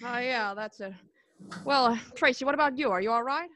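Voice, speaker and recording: A woman playing an awkward, smitten male reporter in a radio play, stammering and halting as she speaks. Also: a little high, fairly bright tone, slight background noise, natural voice. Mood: tentative, smitten.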